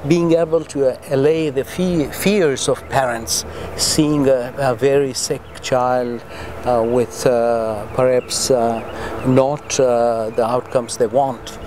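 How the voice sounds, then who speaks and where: A middle-aged man from Tanzania giving reflective interview answers in a halting, deliberate, earnest way. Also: medium pitch, fairly even intonation, background noise. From Tanzania, East Africa. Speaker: interviewee, pediatrician and medical association president.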